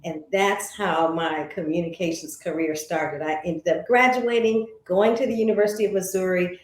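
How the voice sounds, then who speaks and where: A woman's voice: lowish voice, fairly even intonation, normal speed. An American woman being interviewed, telling personal stories in a conversational way and voicing remembered remarks. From St. Louis, United States. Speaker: interview guest, former broadcast journalist. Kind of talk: reflective interview storytelling. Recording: echoey room.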